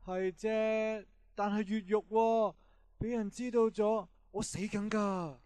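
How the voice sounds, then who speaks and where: Man acting high-voiced timid, submissive guard. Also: steady tone, takes it slowly, a little mellow, smooth, dry recording. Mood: nervous, reluctant. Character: timid, eager-to-please guard blackmailed into helping. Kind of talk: amateur radio drama acting.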